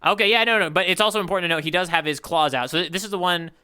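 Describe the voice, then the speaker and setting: A man's voice: high voice, racing, sharp tone, clean tone. A man co-hosting a podcast in casual, playful chat about comics. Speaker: podcast co-host.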